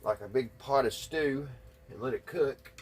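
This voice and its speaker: A man with a slightly husky voice, talking casually and folksily through hands-on repair work, thinking aloud without hurry. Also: mid-range voice, a little hiss or hum. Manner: folksy, unhurried, thinking aloud while working. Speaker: hobbyist radio restorer and video host. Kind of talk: casual hands-on workbench narration.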